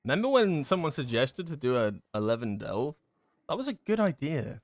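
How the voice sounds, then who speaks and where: A young man giving casual livestream commentary while gaming, chatty and self-deprecating with excitable outbursts, his voice going hoarse. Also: medium pitch, normal speed, no room sound. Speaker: gaming streamer.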